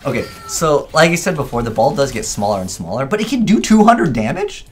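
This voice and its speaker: A man's voice: on the high side, huge pitch swings, fairly quick, slight background noise. A man giving casual, off-the-cuff gameplay commentary, thinking aloud and questioning himself as he goes. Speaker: gaming video creator.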